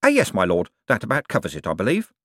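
A dutiful, unimaginative secretary, as a male narrator puts him on, murmuring politely and deferring at every turn. The reader's lively inflection rides over a close, dry recording. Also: on the low side, fast talker, no room sound. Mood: deferential.

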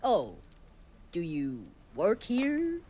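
A man acts out a doddery-minded company boss for a comedy audio play. He rambles and loses his thread, cheerfully oblivious and tactless, with pitch that leaps about in a very lively way. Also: slight background noise, heavily acted. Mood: absent-minded, genial. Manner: halting, forgetful, cheerfully oblivious. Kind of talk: comic audio play acting.

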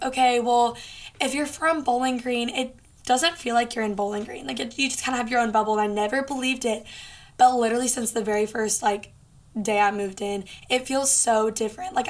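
Young female podcast host, late teens, highish voice with a hoarse rasp, informal and encouraging, chatty solo delivery. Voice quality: hoarse, raspy. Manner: informal, earnest, encouraging, peppered with "like". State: hoarse from a lot of talking. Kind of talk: casual solo podcast chat.

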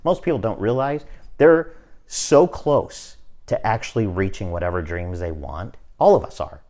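A man in his fifties hosting a podcast, talking in a relaxed, motivational way and often checking in with "right?". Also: on the low side, normal speed. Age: 50s. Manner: conversational, repeats "right?" often, restarts phrases. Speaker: podcast host. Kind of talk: casual motivational chat.

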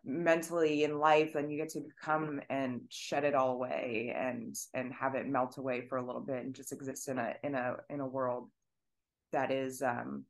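A woman's voice: rumbling low, little pitch movement, normal speed. A woman dancer in a podcast conversation who speaks earnestly and searches for her words.

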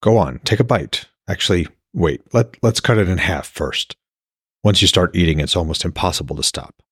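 A man voicing a gentle, reassuring male hero in a fantasy audiobook, with a soft, coaxing manner. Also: low voice, expressive, normal speed, husky, dry recording, studio quality. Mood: reassuring, explaining. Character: gentle, reassuring blind god of Norse fantasy.

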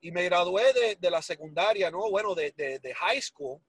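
A man in his forties with a Cuban Spanish accent and a high, husky voice, telling his story with animated, self-mocking humor. English words slip into his Spanish, and the sound is close and dry. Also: moderate pace, dry recording. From Miami, United States. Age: 40s. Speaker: guest. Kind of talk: casual storytelling interview.

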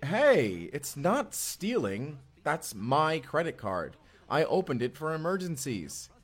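A man's voice: a little high, sing-song, slow, husky, full character voice. An actor playing an earnest, anxious young man stranded from the future, talking in a hesitant, rambling, conversational way in an audio roleplay. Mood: defensive. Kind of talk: first-person audio roleplay acting. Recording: music behind the voice.

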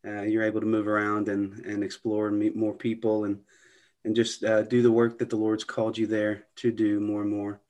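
A man's voice: lowish voice, completely flat delivery, moderate pace, a little mellow. A man hosting a podcast interview, speaking in a friendly way with some hesitant restarts. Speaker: podcast host.

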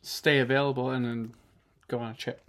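A man's voice: mid-range voice, flat delivery, fairly quick, fairly bright tone. Laid-back young man with dry jokes. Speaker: podcast co-host. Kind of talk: casual couple's chat.

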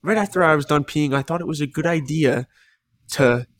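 A man's voice: a little high, a little fast, no echo. A young man co-hosting a casual podcast with his roommate, rambling and repeating himself, his voice a little worn. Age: young adult. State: slightly lost voice. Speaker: podcast co-host. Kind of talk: casual chat. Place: shared apartment at night. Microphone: podcast microphone.

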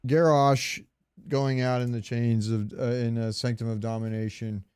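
Clear, dry-sounding man chatting casually. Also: medium pitch, level tone, normal speed, a little mellow, dry recording. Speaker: podcast co-host. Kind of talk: casual chat.

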